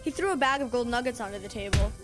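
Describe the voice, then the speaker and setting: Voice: medium pitch, lively intonation, fast talker, music in the background, natural voice. A young performer playing a brash, sarcastic kid who talks bluntly and in slang in an audio drama. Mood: eager storytelling. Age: child. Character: brash, sarcastic wisecracking kid, eager storyteller. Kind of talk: youth audio drama acting.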